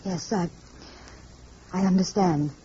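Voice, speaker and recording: A woman's voice: fairly low pitch, steady tone, slow, dark tone, slight background noise. An actress playing an anxious, earnest woman sharing a dangerous secret in a 1950s radio drama, polite and hesitant. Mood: earnest, uneasy. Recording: muffled old radio recording.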